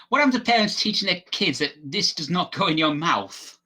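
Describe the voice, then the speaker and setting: A man reads news stories aloud on a casual panel show. His voice is bright and forceful with lively pitch, and he breaks off for exasperated comments. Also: moderate pace. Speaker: podcast panelist.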